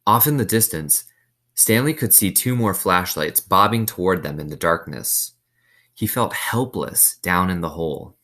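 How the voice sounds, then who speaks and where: A man's voice: sits low, lively intonation, unhurried, bright, forceful tone. A man reading a novel aloud with suspense, explaining it in casual asides.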